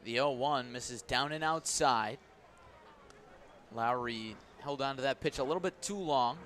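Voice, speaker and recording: A man doing live radio play-by-play of a baseball game, describing the action and stressing the key moments. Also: a bit bright. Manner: descriptive, lifts and stresses as each pitch comes. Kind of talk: live radio sports commentary. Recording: clear outdoor ambient noise behind voice. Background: outdoor ballpark ambience.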